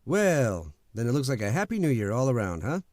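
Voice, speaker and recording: An animated, mid-pitched man an actor plays a footloose suitor in an old-time radio play. He is blunt, casual and candid, with lively intonation. The sound is dry. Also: a little fast, no room sound, lightly acted. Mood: breezy.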